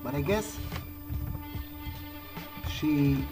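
A man's voice: a little high, drawn-out words, over loud music. A man doing in-character roleplay for a game playthrough, casually and hesitantly musing aloud. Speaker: gameplay video creator.

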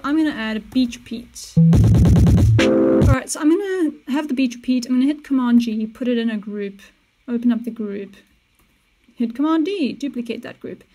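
A young woman hosting a casual music-production tutorial, talking through each step in a relaxed way with small self-corrections, recorded on a studio mic in a small home studio. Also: fairly high pitch, normal speed, long pauses, poor audio. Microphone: studio mic on boom with headphones.

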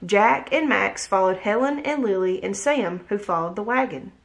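A woman in her thirties reading a picture book aloud with gentle, expressive storytelling, at home on a webcam. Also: medium pitch, moderate pace, gravelly. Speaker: read-aloud host. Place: home room, indoors. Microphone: webcam at desk distance.

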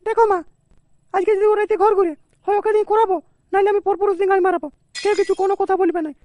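Shrill, complaining young cartoon woman. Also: steady tone, rapid-fire, darkish tone, no room sound, studio quality. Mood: defiant. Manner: whining, crude, defiant. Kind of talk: amateur comedy cartoon dialogue. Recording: faint background noise.

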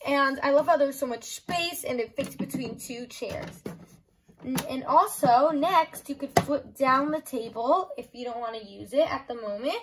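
A young woman with a high voice talks casually through a toy review. She explains things simply and enthusiastically, often saying "like". She is recorded in a room at home, with noticeable noise behind her. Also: moderate pace.

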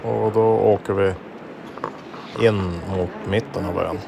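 A fairly low-voiced man talks in a relaxed, chatty way as he films a travel vlog outdoors. His voice comes through a handheld camera mic with clear background noise around it. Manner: relaxed, chatty, talking to family while filming. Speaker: travel vlogger. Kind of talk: casual narration while filming. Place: outdoor mountain viewpoint. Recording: noisy outdoor camera audio.

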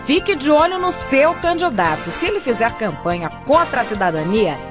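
High-ish Brazilian woman narrator over music. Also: sing-song, moderate pace. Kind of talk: scripted radio drama narration.

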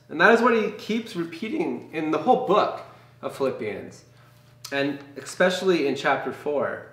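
A man's voice: a little high, fairly bright tone, far from the mic. A young man leading an informal Bible study to camera, talking earnestly and conversationally with fillers and restarts. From Canada. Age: young adult. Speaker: campus ministry leader. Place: small room with brick wall and bookshelves, indoors. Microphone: camera mic from a few feet away. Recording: echoey room, voice set back from the mic.

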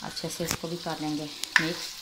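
Low, flat-voiced woman over loud sizzling. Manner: calm, unhurried explaining with friendly "chalein ji" prompts. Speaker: cooking channel host. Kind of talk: step-by-step cooking instruction. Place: home kitchen, at the stove. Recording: loud frying and stirring noise behind the voice.

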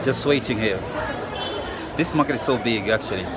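A man's voice: medium pitch, normal speed. Young man vlogging in a noisy market. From Kenya. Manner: chatty, talks straight to camera, many fillers. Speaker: travel vlogger. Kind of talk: casual walking vlog narration. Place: busy indoor market and city street. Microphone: phone held at arm's length. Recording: noisy market crowd with loud music. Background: crowd chatter and music.

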